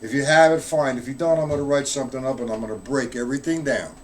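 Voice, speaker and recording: A man's voice: medium pitch, fast talker, a little hiss or hum. A man giving a casual live-streamed drum lesson, explaining rudiments in a loose, chatty way and answering viewers in the chat. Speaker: drum teacher.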